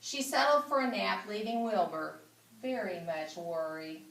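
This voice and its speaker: A woman's voice: medium pitch, a little slow, vocal fry, fairly bright tone. A woman reading a children's story aloud, slipping in informal, chatty asides to her listeners. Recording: roomy echo, distant microphone.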